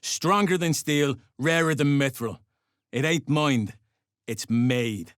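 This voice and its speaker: An actor playing a gruff, curt duergar slave-driver in a video game, full of coarse, threatening mercenary bluster. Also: male voice, fairly high pitch, slow, a bit bright, no room sound, studio quality. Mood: gruff, boastful. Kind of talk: video game character dialogue.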